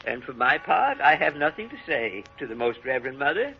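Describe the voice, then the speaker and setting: An actor playing an excitable, deferential old French convent gardener in a vintage radio drama. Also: male voice, medium pitch, gravelly, faint music behind. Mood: humble, hesitant. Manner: excitable, animated, halting and chuckling. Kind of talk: 1930s-40s radio drama acting. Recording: muffled vintage radio broadcast recording.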